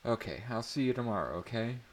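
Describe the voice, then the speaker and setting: A man's voice: medium pitch, lively intonation, fast, a little hiss or hum, pitched above the performer's own voice, close to an everyday voice. A man voicing an eager, playful teenage girl in a dramatic story reading. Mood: excited, playful.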